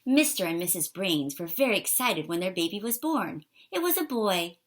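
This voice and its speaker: A woman reading a children's picture book aloud in an expressive, animated way for young listeners. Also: on the low side, moderate pace. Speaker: storybook reader.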